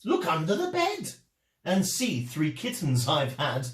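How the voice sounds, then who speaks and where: A man voicing a kindly female cat, a wife and mother in a storybook, with a gentle lilt. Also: a little high, wildly swooping pitch, a little slow. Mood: proud. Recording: echoey room.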